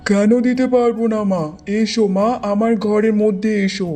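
Woman voicing a mellow, kindly old hermit man. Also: mid-range voice, steady tone, normal speed, lightly acted. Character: kindly wise old hermit, gentle and patient, male. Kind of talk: Bengali fairy-tale cartoon dubbing.

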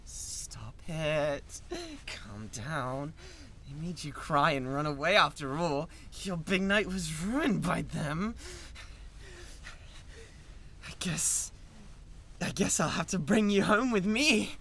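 A man's voice: on the high side, takes it slowly, fairly bright tone, slight background noise. A British man playing an obsessive young yandere kidnapper in a dramatic ASMR roleplay, possessive and menacing. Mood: pleading, possessive. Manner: possessive, menacing, swinging from tender coaxing to frenzy.

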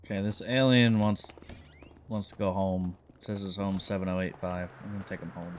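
A man with a lowish voice plays a police officer in an online role-play game. His delivery is casual and laid-back, like easy improvised chat. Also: steady tone, normal speed, a little hiss or hum. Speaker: role-player playing a police lieutenant.

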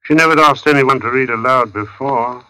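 An actor playing an odd, brooding husband with an ominous muttering manner in an old radio drama. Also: male voice, mid-range voice, moderate pace, bright, forceful tone, close to an everyday voice, low-quality recording.

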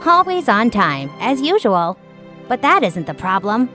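A woman voicing a young, self-assured tiger boy in a children's cartoon, with an expressive, emphatic delivery. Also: fairly high pitch, a little slow, soft background music, heavily acted. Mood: matter-of-fact. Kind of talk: children's animated story dialogue.

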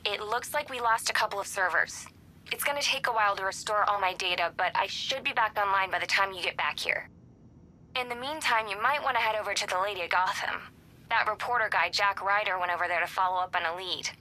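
An actress playing a capable young tech ally in a video game, giving practical, composed briefings. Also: female voice, mid-range voice, bright, forceful tone, slight background noise, lightly acted, rough recording. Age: young adult.

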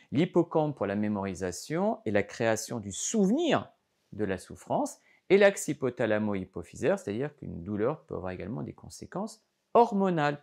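A man's voice: on the low side, vocal fry, darkish tone. A middle-aged man, a doctor, explains a health topic to camera in a studio, gesturing as he talks, with frequent fillers and restarts. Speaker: doctor giving a health lesson. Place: studio before a green backdrop.

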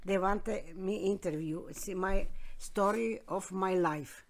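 A woman, being interviewed by her grandkids and telling her story in plain, halting, broken English. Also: medium pitch. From Croatia. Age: 70s. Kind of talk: casual interview.

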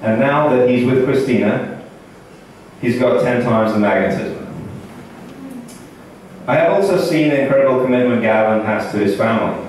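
A best man in his thirties, a native Russian speaker, reads a joking toast from notes and lands each gag with a dry, deadpan pause. His handheld-mic voice is picked up from across a large, very echoey banquet hall. The chatter of guests is clearly heard around him. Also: medium pitch. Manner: deadpan joking, pausing for laughs. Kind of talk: wedding toast read from notes. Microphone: handheld mic, filmed by a far camera in the audience. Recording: echoey hall from far off, audience noise.

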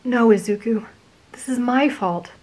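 A woman voicing a soft-spoken young woman who speaks sincerely, with small hesitations. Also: on the high side, unhurried. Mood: tender.

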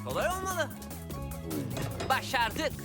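A woman's voice: high-pitched, very animated, takes it slowly, full character voice. A woman voicing a chatty, fussy, comic cat sidekick in a dubbed children's cartoon, hesitant and grumbling. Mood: weary, relieved. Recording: loud music behind.

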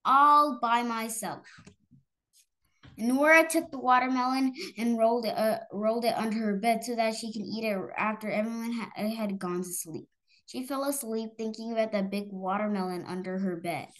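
A woman with a fairly high voice reads a picture book aloud to children. Her reading is halting, and she stumbles over harder words as she goes. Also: poor audio. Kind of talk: read-aloud children's story.

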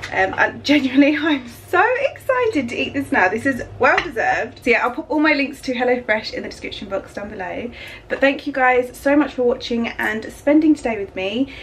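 A woman's voice: fairly high pitch, lively intonation, moderate pace, a bit bright, a little hiss or hum. A young woman, chatting upbeat to a phone camera at home, full of "like" and "um". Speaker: lifestyle vlogger. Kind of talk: casual vlog chat to camera. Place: bright home kitchen and bedroom, indoors. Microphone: phone held at arm's length.

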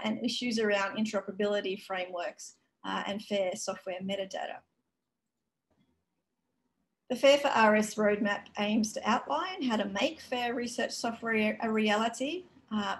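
A woman giving a conference keynote over a video call, explaining in a measured way with frequent fillers. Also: mid-range voice. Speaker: keynote speaker. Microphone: video call webcam.

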